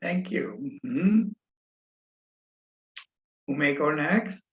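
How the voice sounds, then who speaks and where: A man facilitates an online meeting in a slightly high voice. He talks very slowly, with polite, hesitant phrasing. The audio is echoey and somewhat muffled. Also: lively intonation. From Netherlands. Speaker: session facilitator. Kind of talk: unscripted introduction at an online meeting. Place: remote video meeting.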